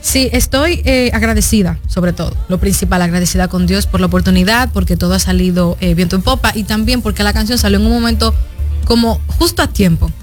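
Young dominican woman chatting in an interview, earnest n reflective, medium pitch, faint music under her. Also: brisk. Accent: Dominican Spanish. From Dominican Republic. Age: 20s. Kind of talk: conversational radio interview.

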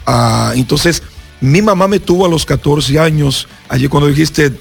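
A man's voice: fairly high pitch, normal speed, creaky, slightly rough, faint music behind. A Brazilian man, a pastor and native Portuguese speaker, giving an earnest personal testimony in Spanish as a guest on a radio interview. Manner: earnest storyteller, acts out voices in his story.

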